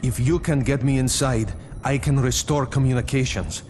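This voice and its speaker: An actor playing an earnest, capable superhero tech expert in a video game, briefing in a matter-of-fact way. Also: male voice, mid-range voice, fairly even intonation, moderate pace, darkish tone, slight background noise, close to an everyday voice. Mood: serious, focused. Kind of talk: video game drama acting.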